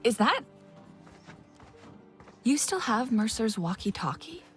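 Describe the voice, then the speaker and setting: A woman's voice: a little high, big pitch swings, slow pace. Guarded young alien woman, music behind. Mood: surprised. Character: young alien Na'vi woman, wary, curious investigator. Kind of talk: video game dialogue acting.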